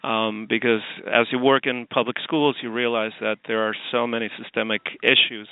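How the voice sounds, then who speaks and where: A man who grew up in Chile, a guest on a call-in radio show, talking from his office in a reflective, halting way with many ums and restarts. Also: medium pitch, flat delivery, vocal fry, clear, resonant tone, no room sound. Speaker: guest, artist and forum founder. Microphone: phone call. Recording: tinny phone line.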